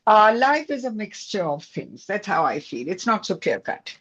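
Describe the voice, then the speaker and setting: A woman's voice: medium pitch, big pitch swings, normal speed, a bit bright, breathy edge. A woman giving her opinion in a casual group discussion, on slightly lo-fi audio. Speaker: discussion participant.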